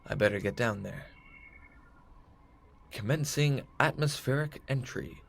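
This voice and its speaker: A man's voice: mid-range voice, unhurried, vocal fry, slight background noise. A man playing an earnest, folksy heroic space captain in a retro sci-fi audio drama, full of old-fashioned exclamations. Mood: determined.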